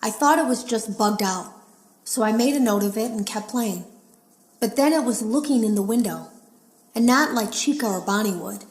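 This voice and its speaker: A woman's voice: on the high side, expressive, normal speed, a little mellow, natural voice. A woman playing a worried, earnest game tester leaving secret warning logs in a video game, sounding uneasy. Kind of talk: video game audio log acting. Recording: echoey audio log.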